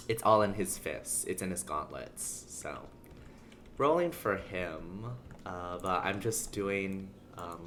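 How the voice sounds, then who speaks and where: Low-voiced streamer, gender unclear, chatting over noise. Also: fairly bright tone. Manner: rambling, chatty, talking to viewers. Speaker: livestreamer. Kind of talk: casual livestream chat while playing a game. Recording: background noise under the voice. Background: video game sounds.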